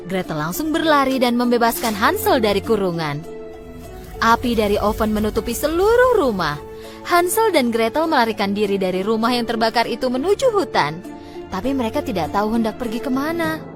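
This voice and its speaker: Female storyteller for children's fairy tales: fairly high voice, very lively intonation, expressive scripted narration. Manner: expressive, dramatic storytelling delivery.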